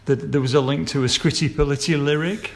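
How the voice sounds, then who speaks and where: A man's voice: a little high, fairly even intonation, brisk. A middle-aged man presenting a radio interview, asking friendly, curious questions.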